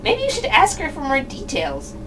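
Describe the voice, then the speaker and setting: Lady doing a squeaky perky young girl puppet, super high, kinda far from the mic. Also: huge pitch swings, normal speed. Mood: eager, nudging. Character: perky, childlike young female sidekick in a puppet show. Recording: distant microphone, background noise.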